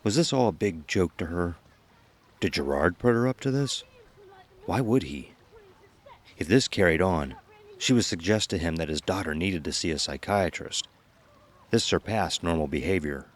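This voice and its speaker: Very deep-voiced man narrating horror. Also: lively intonation, moderate pace, pauses often. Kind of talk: scripted horror story narration. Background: music.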